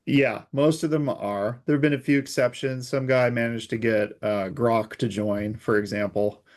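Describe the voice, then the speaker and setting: A man's voice: medium pitch, steady tone, moderate pace. A man speaking as an interview guest, giving informal, conversational explanations as a technical expert.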